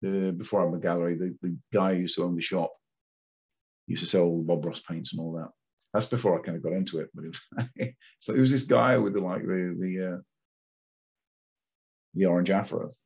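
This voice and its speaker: A low, husky male voice with a gravelly edge, chatting in a loose, rambling way full of fillers. The sound is somewhat dull. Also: brisk, long pauses, a little mellow. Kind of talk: casual chat.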